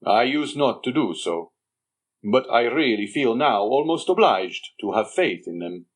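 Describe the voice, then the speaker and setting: A man voicing an aging schemer who poses as a dignified father, reading in a measured, stately way. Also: medium pitch, very animated. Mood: guarded. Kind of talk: audiobook character reading.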